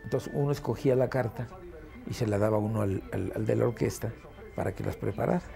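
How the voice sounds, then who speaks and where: A man's voice: on the low side, fast, gravelly, mellow, faint music behind. A man explaining old radio shows in a casual interview. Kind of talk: casual reminiscence.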